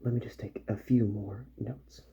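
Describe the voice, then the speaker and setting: A man doing a soft-spoken ASMR roleplay as a calm, methodical repair doctor, musing gently to himself and often drifting into a whisper. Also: lowish voice, normal speed, breathy edge. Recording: muffled audio with faint background noise.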